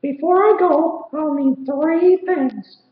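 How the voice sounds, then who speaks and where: A man voicing a small, brave young male hero in a storybook, squeaky and determined. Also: takes it slowly. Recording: roomy, slightly dull recording.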